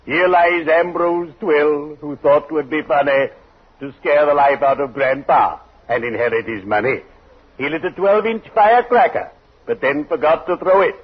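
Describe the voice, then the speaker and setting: An actor playing a pompous, morbidly jolly undertaker in a 1940s radio comedy, with drawn-out theatrical delivery. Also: male voice, a little high, slightly rough. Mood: gleefully macabre. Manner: solemn, drawn-out, theatrical delivery with macabre puns. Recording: muffled, worn 1940s radio recording.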